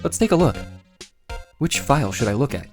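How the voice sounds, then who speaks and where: A man's voice: medium pitch, very animated, fairly quick, a little mellow, faint music behind, close to an everyday voice. A man playing an earnest, dogged young defense lawyer in a voiced game drama. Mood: curious musing. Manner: musing aloud, then pointed and accusatory.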